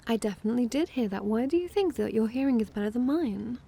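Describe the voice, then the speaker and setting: A woman's voice: fairly high pitch, expressive, quick pace, gravelly, mellow, breathy edge, full character voice. A woman playing a playful, smug and possessive vampiress in an ASMR roleplay, with a dramatic, coy delivery. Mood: indignant.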